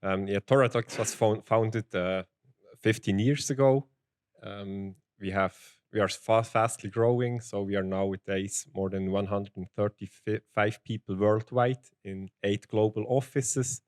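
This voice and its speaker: A man's voice: on the low side, steady tone, unhurried, darkish tone, dry recording, studio quality. A man from Switzerland working as a field application engineer, presenting at a tech conference in a plain, hesitant way with ums and restarts. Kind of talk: technical conference talk. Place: conference hall.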